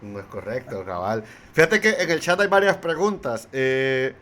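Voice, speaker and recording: A man's voice: medium pitch, sing-song, normal speed, a bit bright. A man with a Salvadoran accent hosting a casual livestream interview, hesitant and repeating words as he forms his questions.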